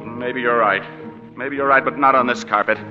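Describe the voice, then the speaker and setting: An actor playing a hard-boiled private detective with dry, sardonic wisecracks, in 1940s radio drama. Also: male voice, medium pitch, steady tone, normal speed, faint music behind, close to an everyday voice. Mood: wry, tough. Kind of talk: 1940s radio drama with first-person narration. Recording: muffled 1940s radio broadcast with long reverb.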